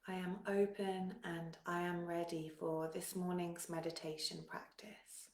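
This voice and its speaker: Slow, low-pitched woman guiding meditation. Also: echoey room. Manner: calm, soothing, gentle instruction with long pauses. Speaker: meditation guide. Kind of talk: guided meditation.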